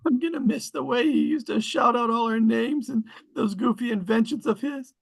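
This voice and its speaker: Wistful kid, played by a woman, creaky husky voice, film table read. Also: on the high side, moderate pace. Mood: wistful grief. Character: wistful kid mourning a lost friend.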